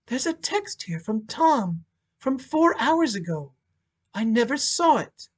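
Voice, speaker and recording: A man voicing a shaken, high-pitched widow. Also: very animated, husky, heavily acted. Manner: halting, faltering. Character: shaken, hesitant newly widowed woman. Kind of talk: audiobook-style dramatic reading.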